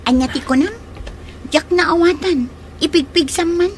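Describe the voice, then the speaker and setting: A woman's voice: extremely high pitch, moderate pace. A woman playing a pushy, scheming young rival in love in a radio drama, with a suspicious, needling manner. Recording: faint noisy ambience behind.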